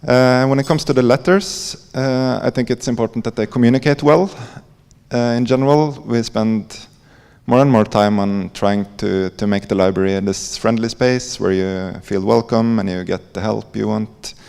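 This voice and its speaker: A man with a Norwegian accent giving an informal conference presentation in a halting, hesitant way, with frequent pauses. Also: lowish voice, steady tone, creaky, darkish tone, clean tone. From Norway. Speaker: conference presenter, library coder. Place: conference hall. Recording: echoey hall with long reverb.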